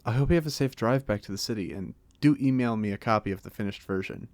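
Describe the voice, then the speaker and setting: A man's voice: on the low side, quick pace, darkish tone, dry recording, natural voice. A man playing a genial old vampire in an audio roleplay, speaking with a British accent in polite, formal, wryly chatty phrases. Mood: cheerful, gracious. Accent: British, put on by an American. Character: genial, chatty, courteous centuries-old male vampire being interviewed. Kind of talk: ASMR audio roleplay monologue.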